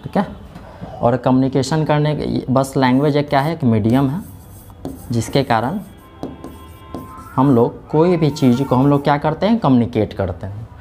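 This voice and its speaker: A man's voice: medium pitch, moderate pace, long pauses, mellow, faint music behind. A man teaching a class in speech, explaining step by step and answering his own questions. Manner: explaining step by step, keeps saying "theek hai"; asks questions and answers them himself. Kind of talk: classroom lecture.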